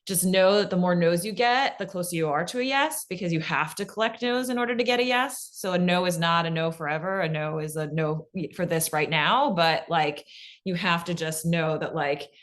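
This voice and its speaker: Encouraging woman presenter, slightly low voice. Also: normal speed. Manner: encouraging, peppers talk with "like" and "right?". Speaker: presenter answering audience questions. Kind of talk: informal workshop talk with Q&A.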